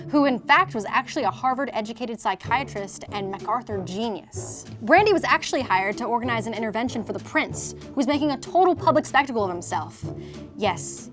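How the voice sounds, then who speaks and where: A woman hosting a comedy series, telling scripted stories to viewers in a tongue-in-cheek way. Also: on the high side. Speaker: host of a comedy fairy tale series.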